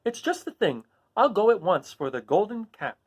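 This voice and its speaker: Man acting young girl, storybook heroine, high light voice, wistful, earnest, animated. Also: normal speed, slightly rough, full character voice. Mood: joyful. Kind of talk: children's storybook read aloud.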